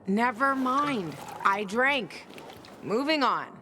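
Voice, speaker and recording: A woman's voice: a little high, lively intonation, slow pace, bright, forceful tone, slight background noise, heavily acted. An actress playing a hard-bitten, sardonic young woman in a video game, blunt and guarded. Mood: dismissive, sardonic. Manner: blunt, dry, profane. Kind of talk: video game character acting.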